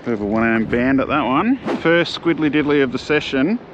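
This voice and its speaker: A man's voice: medium pitch, fairly quick. Australian man, casual fishing commentary, outdoor jetty, muffled camera audio, wind and water noise. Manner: laid-back, chatty, slangy. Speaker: fishing vlogger. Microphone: camera-mounted mic, first-person view.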